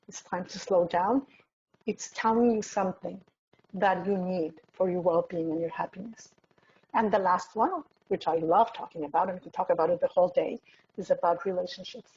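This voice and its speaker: A woman with a Mexican accent, a native Spanish speaker with a husky voice, speaking as a personal development coach in a motivational talk, addressing listeners directly. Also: lowish voice, dark tone, slight background noise. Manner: direct address with rhetorical questions. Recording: dull, slightly muffled lo-fi sound.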